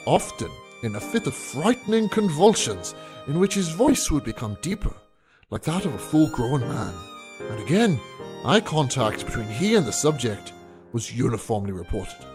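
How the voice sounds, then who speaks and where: A man performs a scholarly imperial archivist in an audio story, precise and deferential. The voice is on the high side and swings widely in pitch, with music playing behind it. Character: formal, deferential male imperial archivist reporting his research. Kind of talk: scripted audio drama narration.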